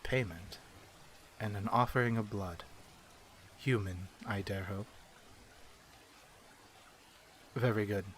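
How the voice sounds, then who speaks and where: Man acting as a courteous vampire curse-breaker, lowish voice, formal archaic speech, measured, learned, ASMR fantasy roleplay, faint background noise. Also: fairly bright tone. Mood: formal, composed.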